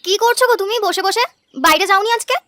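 A woman's voice: very high-pitched, quick pace, pushed and bright, a little hiss or hum. A woman playing a caring young wife and daughter-in-law in a cartoon, sweet and pleading. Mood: caring, concerned. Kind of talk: cartoon voice acting, domestic moral story.

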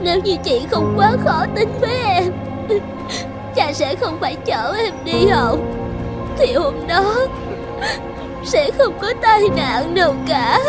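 A woman's voice: extremely high pitch, lively intonation, slow, long pauses, full character voice. A woman voicing a serious, caring teenage elder sister in a cartoon, with an earnest, tender delivery. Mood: guilty, sorrowful. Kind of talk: cartoon dubbing. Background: music.

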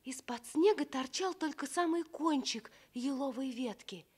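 A woman's voice: high-pitched, unhurried. A woman narrating a scripted fairy tale as a storyteller.

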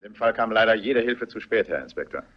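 Brisk, husky-voiced doctor. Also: male voice, fairly low pitch, animated. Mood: assured. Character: guarded, self-assured male family doctor. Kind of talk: German radio play acting. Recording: dull, muffled radio play recording.

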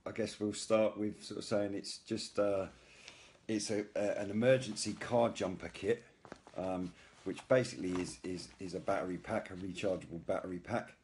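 A British man in middle age speaks in a very deep register. He hosts a casual product demonstration, feeling his way through the explanation with frequent hesitations. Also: slight background noise. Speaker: video host, product reviewer.

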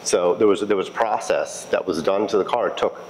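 A man speaking as a restoration technician in a casual interview, explaining in a halting, conversational way and repeating phrases. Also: sits low, low-quality recording. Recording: clear steady background noise.

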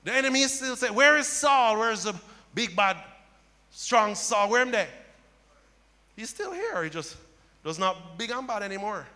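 Impassioned preacher man, bright high voice. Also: sing-song, moderate pace, pauses often. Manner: impassioned preaching, rising to loud cries. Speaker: pastor. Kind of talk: sermon. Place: church stage with audience. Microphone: handheld mic. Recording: long hall reverb.

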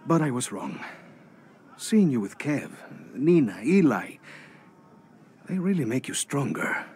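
An actor playing a brooding, coolly menacing lone hitman, speaking in an earnest, deliberate way in a video game. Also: mid-range voice, very animated, a little mellow, a little hiss or hum. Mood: earnest, reflective. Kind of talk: video game cutscene acting.

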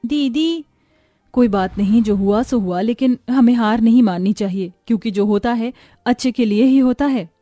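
A woman voicing a poor young village sister, earnest and expressive, in an animated moral story. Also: fairly high pitch, moderate pace, no echo, studio quality, soft background music, close to an everyday voice. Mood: encouraging.

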